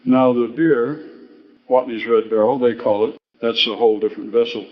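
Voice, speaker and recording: The sound is slightly dull around a man playing a folksy Midwestern storyteller in a radio comedy. He is mid-pitched, mild and nostalgic, gently chiding in a wistful monologue. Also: steady tone, moderate pace, lightly acted.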